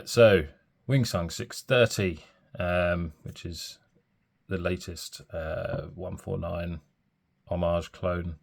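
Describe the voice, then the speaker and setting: Deep-voiced man with a cold. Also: creaky. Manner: chatty and rambling, with many ums and self-corrections. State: nursing a cold, a bit throaty and bunged up. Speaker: fountain pen reviewer. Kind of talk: casual product review.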